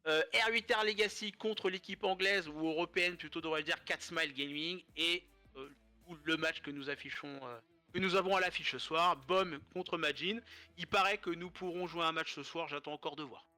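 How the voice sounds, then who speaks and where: A man calls an esports match live, his voice hoarse and his nose blocked from a cold. His bright, forceful tone sits a little high, and he jokes and wanders as he narrates the play. Faint music runs behind him. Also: moderate pace. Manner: joking, rambling casual commentary. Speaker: esports caster.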